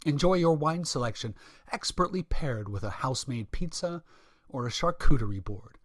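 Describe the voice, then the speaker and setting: Announcer: man, medium pitch, scripted sponsor read, dry recording. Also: lively intonation, moderate pace, no echo.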